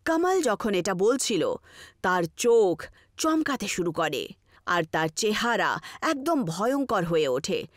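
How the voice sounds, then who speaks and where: A woman's voice: mid-range voice, big pitch swings, slow, no echo, clean studio sound. A woman narrating a scripted horror story.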